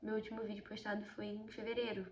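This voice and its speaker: A sixteen-year-old girl with a Brazilian accent, slightly hoarse from a cold, chatting through a casual Bible study vlog and often addressing her viewers. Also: medium pitch, monotone, quick pace, reverberant, noisy background. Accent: Brazilian Portuguese. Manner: chatty, keeps addressing viewers, emphatic storytelling. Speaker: video host.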